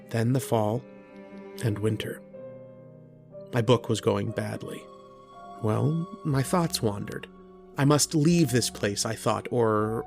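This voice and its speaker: An actor playing an earnest, kindly struggling writer who narrates an old-time radio horror drama in a reflective, hesitant way. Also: male voice, mid-range voice, gravelly.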